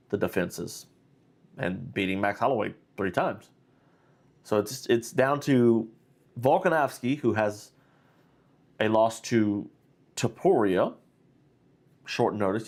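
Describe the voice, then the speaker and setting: Stuffy-nosed man rambling about fights. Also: fairly low pitch. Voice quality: nasal. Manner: rambling, with many fillers and restarts. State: under the weather, nasal. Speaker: host. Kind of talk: casual live-stream fight reaction.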